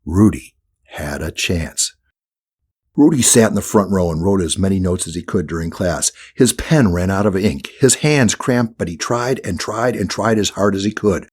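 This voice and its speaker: A man's voice: low voice, lively intonation, moderate pace, darkish tone, breathy edge. A man reading a scripted children's audiobook as the narrator.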